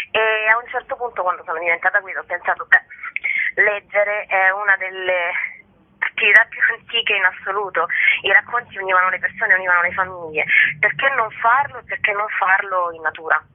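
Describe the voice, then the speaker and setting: A woman hiking guide explaining her work as a guest in a radio interview. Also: mid-range voice. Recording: dull, narrow phone-like radio sound.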